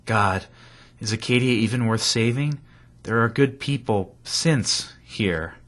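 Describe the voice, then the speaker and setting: A man's voice: mid-range voice, takes it slowly, a bit bright. An actor playing a troubled, doubting man in a video game. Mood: troubled, doubtful.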